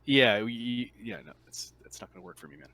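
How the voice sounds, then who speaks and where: A man running a casual tabletop roleplaying game as game master, explaining in a halting way with repeats and rephrasing. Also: mid-range voice, lively intonation, pushed and bright, dry recording.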